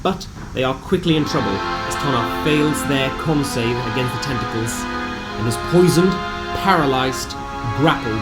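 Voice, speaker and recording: A man with a medium-pitched voice narrates a tabletop fantasy recap. His delivery is scripted storytelling, deliberate and theatrical in its phrasing. Music plays clearly behind him throughout. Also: poor audio. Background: background music.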